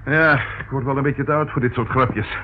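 An actor playing a middle-aged detective's sidekick, dry and grumbling, in a radio drama. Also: male voice, medium pitch, fairly even intonation, normal speed, natural voice. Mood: relieved, curious. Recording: noisy, tinny old radio recording.

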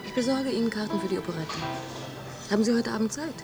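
A woman's voice: fairly high pitch, fast talker, natural voice. Cynical young student girl in a radio play, a woman doing it, dry and mocking, music behind. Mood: sardonic. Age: young adult. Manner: sardonic, mocking.

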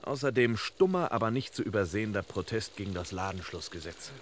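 An actor playing a self-important detective boss, dry and clipped, in a crime radio comedy. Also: male voice, lowish voice, expressive, a little fast, natural voice. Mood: dryly mocking. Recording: faint noise, old radio play.

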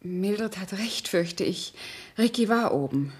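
Composed, slightly husky, insinuating woman. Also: mid-range voice, animated, slow pace, heavily acted. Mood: concerned. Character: composed, insinuating woman managing a friend's household. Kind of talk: German radio crime drama acting. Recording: 1970s studio radio play recording.

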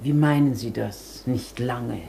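A woman's voice: a bass voice, takes it slowly, creaky, warm tone, a little hiss or hum, exaggerated voice. An actress in a German radio play playing an ailing, wistful older woman of modest means, proudly reserved and hesitant. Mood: guarded, hesitant.

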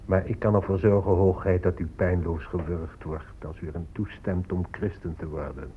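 An actor playing a stern, coaxing colonial zealot with a declamatory, persuasive manner in a radio drama. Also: male voice, low voice, fairly even intonation, normal speed, slight background noise, lightly acted. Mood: menacing, unctuous. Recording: tinny, phone-like old radio recording.

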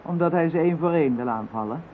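A woman's voice: low-pitched, animated, fast talker. A self-assured psychic witch. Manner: confident, matter-of-fact, frantic when alarmed. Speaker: psychic adviser. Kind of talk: radio drama acting. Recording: tinny narrow-band radio recording with faint hiss.

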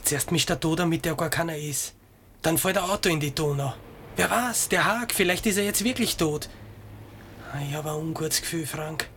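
Radio play jumpy, greedy little crook's sidekick, high-pitched and bright, always fretting. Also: male voice, normal speed, a little hiss or hum. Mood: uneasy. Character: nervy, anxious, greedy male sidekick. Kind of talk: 1980 German radio drama acting.

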